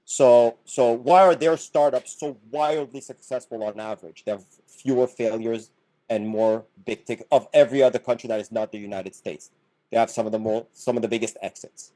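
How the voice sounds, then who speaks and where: A man's voice: mid-range voice, fairly even intonation, moderate pace, dark tone, poor audio. A middle-aged man from Canada, a podcast guest who explains his points earnestly and often restarts his words. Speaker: podcast guest, business founder. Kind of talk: casual podcast conversation.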